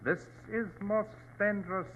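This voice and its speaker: An actor playing a grave, imperious Chinese provincial governor, formal and courteously menacing, in old-time radio drama style. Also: male voice, high-pitched, fairly even intonation, a bit bright, slight background noise. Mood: formal, cool. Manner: formal, stately, deliberate. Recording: thin phone-like vintage radio recording.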